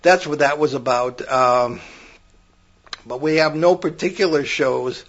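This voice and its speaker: An older man with a somewhat high voice talks through a paranormal podcast, winding through anecdotes and correcting himself mid-sentence. The audio sounds dull and lo-fi. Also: a little slow, fairly bright tone. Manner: rambling, many restarts, storytelling. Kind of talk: casual chat.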